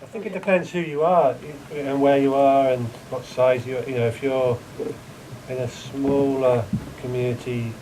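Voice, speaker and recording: Man, audience member, thoughtful, measured, noisy room. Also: medium pitch, steady tone, mellow. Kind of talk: discussion contribution.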